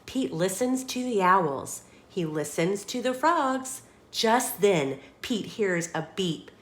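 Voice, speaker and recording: A woman reading a children's picture book aloud as a storytime host, expressive and dramatic, putting questions to young listeners. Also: mid-range voice, takes it slowly.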